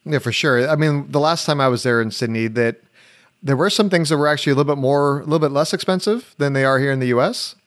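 A man hosting a travel podcast, chatting in a relaxed, halting way with many restarts. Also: medium pitch. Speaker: podcast host. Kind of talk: casual interview chat.

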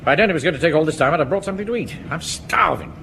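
Casting: a bossy middle-aged English Home Guard captain, officious and exasperated, highish, very lively pitch, vintage sitcom. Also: male voice, rapid-fire, creaky. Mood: grumbling, officious. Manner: officious, barking orders. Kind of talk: broadcast radio sitcom acting. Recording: noisy vintage radio comedy recording.

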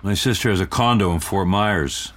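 An older husband with a very deep voice. He is mild, affable and understated, speaking in short, low-key phrases in a natural audio-comedy manner. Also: moderate pace, fairly bright tone. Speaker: actor in an audio drama.

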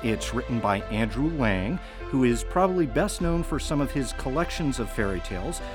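A man co-hosting a casual podcast about audio production, talking in a wry, halting way and often correcting himself. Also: medium pitch, lively intonation, music in the background. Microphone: home recording setup.

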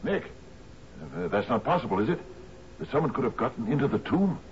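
An actor playing a troubled older sea captain in a 1970s radio drama, with a hesitant, stammering delivery. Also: male voice, mid-range voice, very animated, brisk, lightly acted. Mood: alarmed, disbelieving. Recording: muffled, noisy 1970s radio broadcast.

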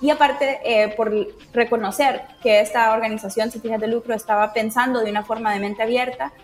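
Woman with a fairly high voice. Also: steady tone, a little fast, faint music behind. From Honduras. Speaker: interviewee, project team member. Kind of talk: casual interview answers.

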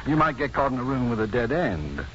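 An actor playing an urbane, self-assured doctor in a 1950s radio drama, articulate, dry and probing. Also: male voice, mid-range voice, big pitch swings, fairly quick, close to an everyday voice. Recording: dull, noisy 1950s radio recording.

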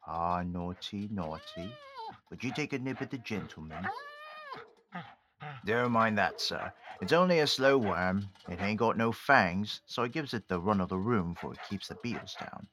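A man playing a gruff, suspicious but kindly old animal keeper in an audio drama, with colloquial working-class speech. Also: on the low side. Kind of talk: audio drama acting of Victorian story. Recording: clearly noisy recording.

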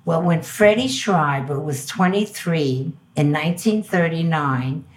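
A woman's voice: deep voice, lively intonation, measured pace, a little hiss or hum. An older woman from Belgium with a New York accent, a guest telling a story in an interview and acting out the dialogue she quotes.

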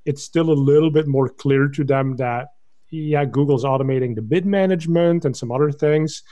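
A man's voice: fairly high pitch, moderate pace, mellow, lo-fi sound. A man in his forties from Belgium, Dutch his first language, a podcast guest explaining things in an easygoing way with fillers. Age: 40s. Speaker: guest, company founder. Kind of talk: casual interview chat.

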